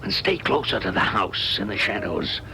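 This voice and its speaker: An edgy male crook giving curt orders in an old radio drama. The voice is gravelly and jumps widely in pitch, over faint noise. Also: sing-song, moderate pace, pushed and bright, exaggerated voice. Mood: alarmed, curt. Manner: gruff, curt, commanding. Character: bossy, edgy male small-time crook in hiding. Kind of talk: 1970s radio drama acting. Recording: noisy 1970s radio drama recording.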